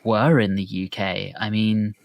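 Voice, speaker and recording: A man's voice: lowish voice, fairly even intonation, a little slow, gravelly, no room sound. A man co-hosting a music podcast, discussing bands in a casual conversational way.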